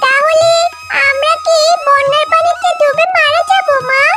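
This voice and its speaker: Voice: very high-pitched, steady tone, unhurried. A performer voicing a small, anxious fox daughter in a children's cartoon, pleading in a childlike, plaintive way over music. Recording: loud music under the voice.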